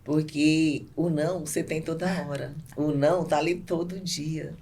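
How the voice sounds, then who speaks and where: A woman's voice: low voice, fairly even intonation, normal speed, dark tone. A Brazilian woman business owner answering casually as a podcast guest, often repeating and rephrasing herself.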